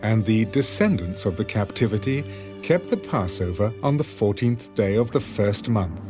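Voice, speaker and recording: A man narrating scripture for a dramatised audiobook, with solemn, deliberate phrasing. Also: lowish voice, darkish tone.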